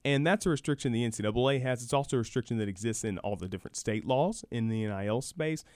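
A man's voice: mid-range voice, quick pace, a little mellow, no room sound, studio quality. A man speaking as an expert guest in a sports talk interview, explaining things and repeating words for emphasis. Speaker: guest, university program director.

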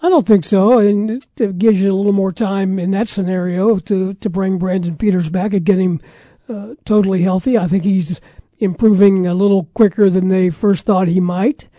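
A man hosting a sports talk radio show, casual and easygoing, with a measured way of talking. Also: sits high, steady tone, dark tone, dry recording.

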